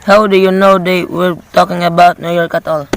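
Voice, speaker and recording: High-voiced, patronizing police officer acted by a man. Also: flat delivery, normal speed, fairly bright tone, slight background noise, rough recording. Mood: dismissive. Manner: patronizing, placating, matter-of-fact. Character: unhurried, dismissive New York police desk officer. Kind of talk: amateur radio play acting.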